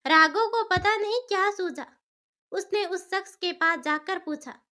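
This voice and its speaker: A woman narrates a cartoon story in a very high-pitched voice. Her tone is bright and forward, and she reads as a scripted storyteller. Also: a little slow. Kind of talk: scripted cartoon story narration in a Hindi moral-tale format.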